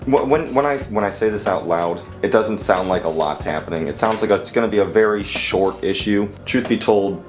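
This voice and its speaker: A man giving a casual review as a commentator, halting and repeating himself for emphasis. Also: on the low side. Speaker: comic book reviewer.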